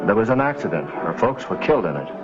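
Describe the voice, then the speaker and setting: A man's voice: on the low side, big pitch swings, fast. An actor playing a sober, sympathetic townsman, speaking plainly in a vintage Western drama. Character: sober, sympathetic townsman recounting local history. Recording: dull lo-fi vintage soundtrack, music behind.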